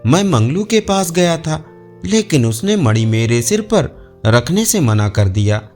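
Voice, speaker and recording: A man's voice: fairly high pitch, big pitch swings, unhurried, darkish tone, soft background music. A man voicing an aging male lion in a storybook tale, resentful, grumbling and threatening. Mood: aggrieved.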